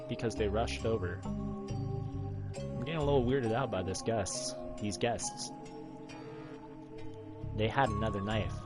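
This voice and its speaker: A man hosting a gaming playthrough, reading a visual novel aloud in a measured storytelling way and lightly acting out dialogue. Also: on the low side. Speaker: gaming video host.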